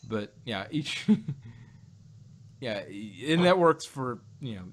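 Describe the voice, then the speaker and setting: A man's voice: mid-range voice, expressive, very slow. A man in a casual podcast discussion, hosting and rambling as he repeats and rephrases his points. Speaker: podcast host. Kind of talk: casual review chat about sci-fi shows.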